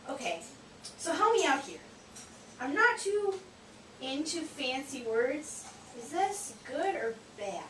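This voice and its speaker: A woman voicing a cheeky, naive, excitable puppet sidekick in comic scripted banter. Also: sits high, takes it slowly, exaggerated voice. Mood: curious, cheeky. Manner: playful, exclamatory, wisecracking. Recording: echoey, distant, noisy room.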